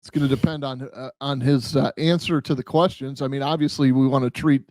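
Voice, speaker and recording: A man's voice: medium pitch, fairly even intonation, brisk, darkish tone, no echo. A man co-hosting a casual true-crime discussion, speaking in a blunt, plain-spoken and opinionated way. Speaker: podcast co-host with police background.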